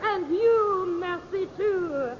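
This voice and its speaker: An actress playing a melodramatic stage tragedienne who pleads theatrically in a 1950s radio drama. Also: female voice, extremely high pitch, full character voice. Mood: pleading. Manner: theatrical, slow, imploring. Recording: muffled old radio, faint crowd behind.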